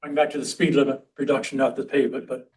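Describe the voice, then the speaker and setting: A man's voice: medium pitch, flat delivery, fast talker. Male, mid-range voice, informal meeting leader in a council room. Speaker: committee member leading the meeting. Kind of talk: informal public committee meeting discussion.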